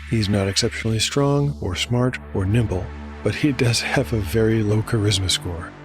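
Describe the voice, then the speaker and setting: A man narrating a fantasy tabletop game as storyteller and game master, in a measured, scripted delivery. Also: fairly low pitch. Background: music.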